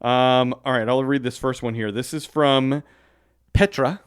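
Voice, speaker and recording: A man's voice: medium pitch, fairly even intonation, measured pace, smooth. A man co-hosting a casual podcast in a studio, easygoing and jokey. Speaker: podcast co-host.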